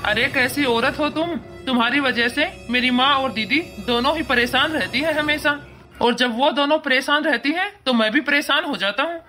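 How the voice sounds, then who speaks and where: Woman playing a high, bright, domineering young husband. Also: moderate pace, faint music behind. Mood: scolding. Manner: bossy, condescending. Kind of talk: cartoon moral-story acting.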